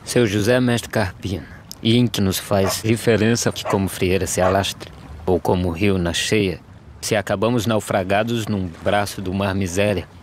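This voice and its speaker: A weary, despairing Brazilian migrant man played by an actor in an animated verse drama. His voice is fairly low and he speaks in solemn, measured verse. Clear outdoor noise runs behind him. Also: lightly acted. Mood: bitter, desperate. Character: weary, despairing male drought migrant, gaunt, questioning.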